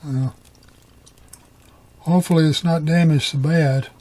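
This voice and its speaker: A man's voice: on the high side, steady tone, unhurried, warm tone. A middle-aged man giving a casual hands-on tutorial, talking in a folksy, colloquial way and half muttering to himself as he works. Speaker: repair hobbyist.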